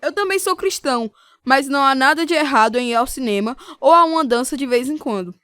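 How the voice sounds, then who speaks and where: A woman's voice: sits high, lively intonation, brisk, fairly bright tone, no echo, studio quality. A woman playing an easygoing young Navy roommate in a radio drama.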